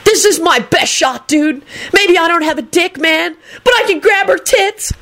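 A woman voicing a foul-mouthed, hysterical young man who whines and shouts in slang in an audiobook story. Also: very high-pitched, moderate pace, pitched above the performer's own voice. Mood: angry hysterical. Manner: shouty slangy whining, says dude constantly.

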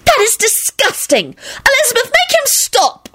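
Very high shrill young woman, screechy, whiny, dramatic, easily outraged, audiobook character. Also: huge pitch swings, normal speed, bright, forceful tone, full character voice. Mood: disgusted.

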